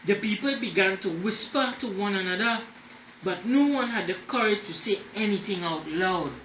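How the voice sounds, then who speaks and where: A man reading a children's story aloud in an expressive, deliberate way, as the host of a storytime. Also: high voice. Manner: animated, deliberate, checking in with listeners. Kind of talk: expressive read-aloud with explanatory asides. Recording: roomy echo, faint background noise.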